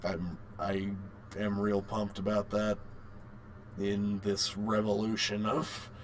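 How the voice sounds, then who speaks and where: A man's voice: fairly low pitch, level tone, slow, a little hiss or hum. Man in casual, opinionated podcast chat. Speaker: podcast co-host. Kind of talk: casual chat about games and films.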